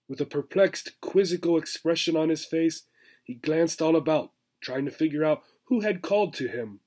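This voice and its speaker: A man, reading a children's book aloud in expressive narration. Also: a little high, normal speed. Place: small furnished room indoors.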